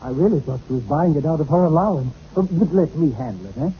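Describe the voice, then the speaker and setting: A genial, whimsical family man played by an actor, chatty and indulgent toward children. His voice sits fairly high. The old radio comedy recording is muffled and plainly noisy. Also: animated, quick pace, close to an everyday voice. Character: genial, whimsical middle-aged father of ten. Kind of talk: 1940s radio comedy acting.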